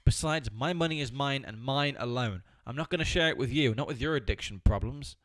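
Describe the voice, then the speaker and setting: A man's voice: medium pitch, a little fast, no echo, lightly acted. A man playing an exasperated, stingy, bossy straight man with a dry, curt manner in an amateur audio comedy.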